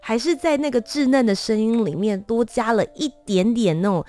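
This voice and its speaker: A woman's voice: a little high, moderate pace, warm tone, soft background music. A woman with a Taiwanese accent hosting a casual, conversational interview. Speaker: interview host.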